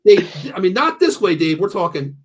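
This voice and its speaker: A man's voice: high-pitched, big pitch swings, normal speed, slight background noise. An American man in his early fifties hosting a casual sports show, talking bluntly and often repeating himself. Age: early 50s. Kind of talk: casual sports banter with ad reads.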